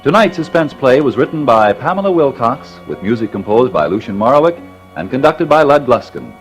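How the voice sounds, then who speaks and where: A man's voice: medium pitch, very animated, fairly quick, low-quality recording. A man announcing in polished, emphatic 1940s radio style from a script. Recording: vintage radio broadcast over music.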